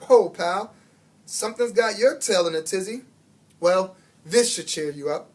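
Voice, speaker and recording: A man voicing a jolly, chatty male school janitor with a booming, folksy manner for a storybook. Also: unhurried.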